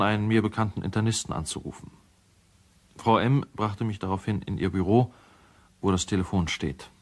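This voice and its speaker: An actor playing a sober, troubled male lawyer who gives a measured, formal account in a radio drama. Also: lowish voice, lightly acted. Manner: measured, matter-of-fact, formal.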